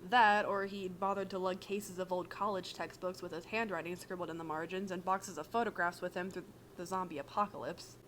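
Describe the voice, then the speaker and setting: A woman reading fan fiction aloud as a podfic narrator, in an expressive, casual way. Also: fairly low pitch, brisk, hardly any pauses, a little hiss or hum. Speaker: podfic reader.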